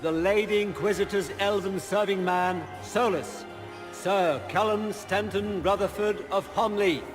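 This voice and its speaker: Court herald, high voice, pompous ceremonial declamation over music, fantasy game cutscene. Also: male voice, fairly even intonation, measured pace. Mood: grand, ceremonial. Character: pompous male court herald announcing noble guests. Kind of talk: formal announcing in a video game cutscene.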